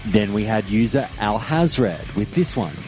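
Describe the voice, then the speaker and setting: A man hosting a podcast and giving his opinion in a measured, deliberate monologue with firm emphasis. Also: fairly low pitch, big pitch swings, a little mellow, soft background music. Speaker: podcast host.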